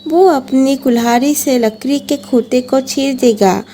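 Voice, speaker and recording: A woman's voice: high voice, measured pace, warm tone, slight background noise. A woman reading scripted narration for a children's moral story.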